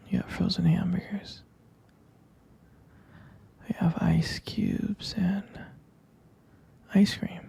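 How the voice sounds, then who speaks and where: Guy acting as a sweet doting boyfriend for asmr, super dark mellow voice, breathy and whispery, tender pet-name murmuring. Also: high voice, exaggerated voice. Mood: gentle, caring. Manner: slow, tender, hesitant murmuring with pet names. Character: doting, gently teasing boyfriend soothing partner to sleep.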